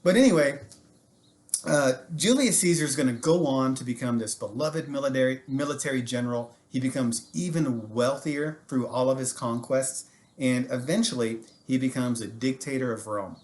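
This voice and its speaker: An American man, a teacher, tells a history story to students in an animated, casual way with jokey asides. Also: mid-range voice, normal speed.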